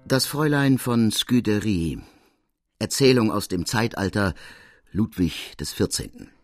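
A man's voice: lowish voice, lively intonation, measured pace. A man working as a narrator, reading scripted literary prose.

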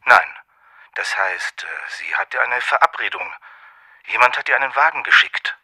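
A guarded man on a telephone in a radio crime play. His filtered voice is extremely sharp and bright, and he speaks with shaken hesitation. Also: mid-range voice, lively intonation, normal speed, full character voice. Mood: shaken, wary. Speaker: actor in supporting part.

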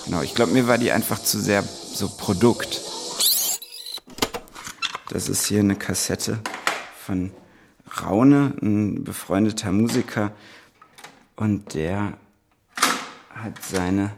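Gravelly low man over loud noise. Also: takes it slowly, pauses often. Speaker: interviewee. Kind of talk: casual spontaneous talk.

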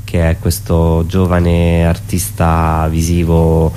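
A man explaining his performance piece informally as a guest, in a halting way with frequent self-corrections. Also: low-pitched, completely flat delivery, dark tone, smooth. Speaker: performance artist, interview guest. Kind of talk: informal spoken presentation of own show. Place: radio studio.

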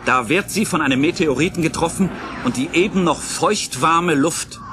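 A man's voice: a little high, normal speed, noisy background. A man narrating a radio play in a scripted, suspenseful style with theatrical emphasis.

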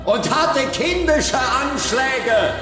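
An actor playing a fervent preacher declaiming scripture in a radio play, in a chanting manner. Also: male voice, very high voice, lively intonation, drawn-out words, full character voice. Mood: solemn fervor. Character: fervent church preacher proclaiming scripture and news to congregation. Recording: reverberant hall, distant, loud music behind.

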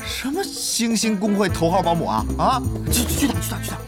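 A reluctant young guild leader, easily flustered and indignant. His medium-pitched voice jumps about expressively as he splutters. Music plays behind this audio drama. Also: sing-song, brisk. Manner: spluttering, exasperated.

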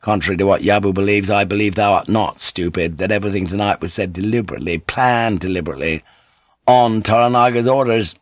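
A man's voice: fairly low pitch, quick pace, no echo, lo-fi sound, natural voice. A man voicing a blunt, probing, passionate foreign sailor, speaking directly and insistently in audiobook dialogue. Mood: suspicious. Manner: direct, insistent, at times archaic phrasing.

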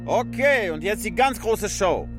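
A man's voice: high-pitched, expressive, a little slow, over loud music. A man acting in a radio drama as a showy, sadistic propaganda film director who bullies with glee. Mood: showy, commanding.

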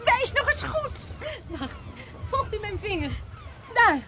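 Very high excited harbor woman, as a woman plays her. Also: slow pace, exaggerated voice. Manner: excited, pointing things out. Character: eager young harbor woman awaiting ship. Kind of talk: radio drama acting. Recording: noisy background.

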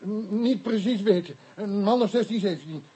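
A man playing a frightened, naive Pygmy mission convert in a radio play, speaking halting, stammering, broken Dutch with inverted word order. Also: high-pitched, dark tone, slightly rough, poor audio. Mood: nervous, halting.

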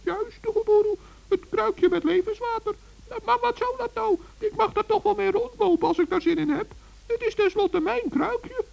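A man playing a small, kind-hearted forest gnome in a children's radio play, hesitant and innocent in a creaky, squeaky voice. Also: moderate pace, a little mellow, heavily acted. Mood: evasive, sheepish. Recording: dull vintage radio recording.

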